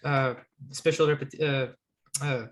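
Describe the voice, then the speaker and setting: A man's voice: mid-range voice, fairly even intonation, measured pace, a little hiss or hum. Young Greek-accented man, hesitant speech. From Greece. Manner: hesitant, many fillers and restarts. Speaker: guest. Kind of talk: casual video call conversation. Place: small bright room at home. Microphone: laptop webcam mic on a video call.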